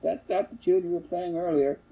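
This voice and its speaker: An older man voicing a brisk, scolding farm mother in a storybook, husky and sharply questioning. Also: sits high, fairly even intonation, muffled recording, pitched above the performer's own voice, heavily acted. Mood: dry teasing.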